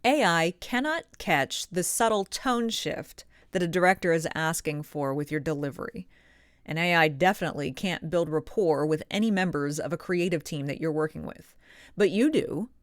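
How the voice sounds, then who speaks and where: A woman hosting a vlog as a professional voice actor, speaking straight to the audience in emphatic, deliberate phrases with pointed pauses. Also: low voice, no echo, clean studio sound. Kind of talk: direct-to-audience scripted vlog monologue.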